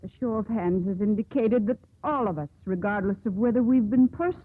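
A resolute young woman, played by an actress in 1950s radio drama. She speaks crisply and formally, with proud firmness. The sound is thin and boxy, like an old broadcast. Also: mid-range voice, fairly quick, narrow, tinny audio, natural voice. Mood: commanding.

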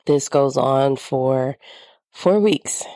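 Low, nasal woman with creaky voice. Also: very slow, warm tone, no room sound. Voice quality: slightly nasal, congested. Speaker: podcast host. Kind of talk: reflective personal monologue.

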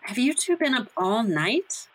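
A woman playing a gentle, sensible and courteous rebel in an audio drama. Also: on the high side, big pitch swings, unhurried, no room sound, heavily acted, rough recording. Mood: groggy, puzzled.

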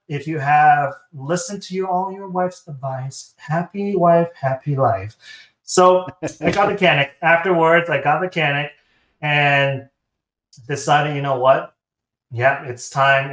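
A man's voice: a little high, steady tone, measured pace, a bit bright. A man from Taiwan with a Taiwanese accent, chatting casually as an interview guest and acting out dialogue as he tells stories. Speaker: guest, sponsored competitive shooter. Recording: roomy, echoing sound.

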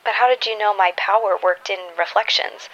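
A woman's voice: medium pitch, brisk, fairly bright tone, highs cut off, a little hiss or hum, close to an everyday voice. A woman playing a guarded, wry superhero in a naturalistic audio drama, speaking tersely and dryly. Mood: dry, curious. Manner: terse, dry delivery. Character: guarded, wry, wounded female superhero with dangerous gaze.

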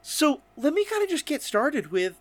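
A man's voice: very high-pitched, sing-song, normal speed, no room sound. A man hosting a casual interview podcast, talking enthusiastically in rambling questions. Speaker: podcast host interviewing guests.

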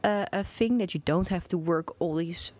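A woman interviewing a guest, asking gentle questions with many hesitations and "mm-hmm" replies. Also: on the low side, moderate pace.

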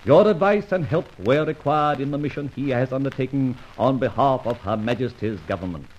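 An actor playing a suave, mocking gentleman adventurer in a vintage radio serial, with a breezily polite, sardonic manner. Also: mid-range voice, brisk, highs cut off, close to an everyday voice. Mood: smug, relaxed. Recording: noisy old radio recording.

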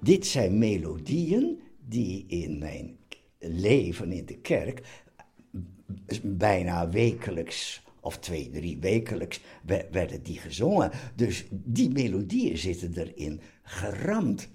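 A man, reminiscing in casual chat, halting and repeating words. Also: low-pitched, sing-song, warm tone. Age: 80s. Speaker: elderly father being interviewed.

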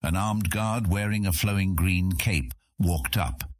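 A man's voice: deep voice, unhurried, no echo, studio quality. A man narrating a fantasy audiobook in a dramatic, scripted style.

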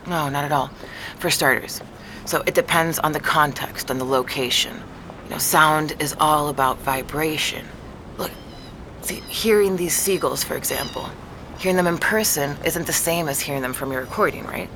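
An actress playing a calm woman neurologist who explains things patiently and weighs the evidence, in an audio drama. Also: sits low, normal speed, noisy background. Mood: explaining.

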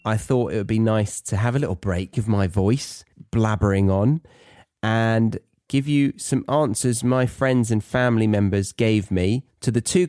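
A man's voice: on the low side, dark tone, no echo, clean studio sound. A British man presenting an English-learning podcast in a conversational, playful, jokey way as host and teacher.

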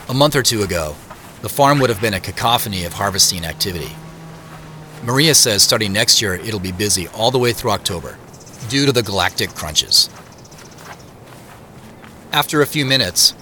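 A bright-voiced, observant male narrator. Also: lowish voice, slight background noise. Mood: reflective. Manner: measured, descriptive. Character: Joseph, observant, wistful grown man back visiting a farm. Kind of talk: first-person audio drama narration.

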